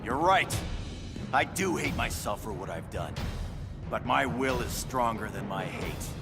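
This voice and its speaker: An actor playing a wry, resolute superhero carrying guilt, in a dramatic video game. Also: male voice, mid-range voice, expressive, unhurried, creaky. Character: wry, guilt-ridden space lawman superhero with iron will. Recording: loud cinematic music behind.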